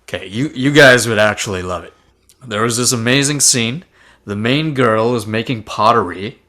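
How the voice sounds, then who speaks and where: A man's voice: mid-range voice, moderate pace, bright, forceful tone, close to an everyday voice. A man playing an earnest, dorky, polite ghost in an online table read of a sitcom script. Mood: gushing. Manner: eager, rambling, polite. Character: chipper, earnest, dorky male ghost who loves movies. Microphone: video call.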